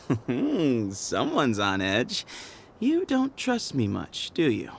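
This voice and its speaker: A man's voice: mid-range voice, wildly swooping pitch, creaky, slight background noise, exaggerated voice. A man voicing a cocky, sly gang boss in a video game, with a smug, taunting manner. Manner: smug, taunting, drawn-out questions.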